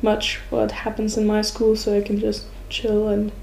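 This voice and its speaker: German girl of 16, mellow n creaky voice, chatting in an interview, bit of background noise. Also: medium pitch, completely flat delivery, moderate pace, low-quality recording. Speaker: exchange student guest. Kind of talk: casual interview answers.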